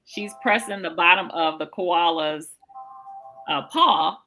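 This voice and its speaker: A woman's voice: fairly low pitch, slow pace, bright, forceful tone, faint music behind. A woman hosting a podcast in casual interview chat, with an encouraging, enthusiastic manner.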